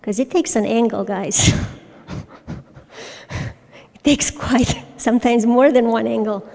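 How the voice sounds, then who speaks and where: A woman in her forties from Romania, sharing her personal story at a recovery fellowship meeting in a hesitant way, with frequent pauses and restarts. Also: a little high, gravelly, a little mellow. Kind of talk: personal testimony talk. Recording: long reverb from a large hall.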